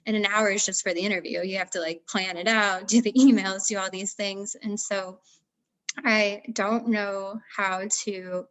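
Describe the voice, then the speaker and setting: A woman's voice: medium pitch, fairly even intonation, normal speed, fairly bright tone, poor audio. A woman researcher answering questions in a casual, conversational way on a panel, often dropping "like" into her sentences. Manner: conversational, peppers speech with "like".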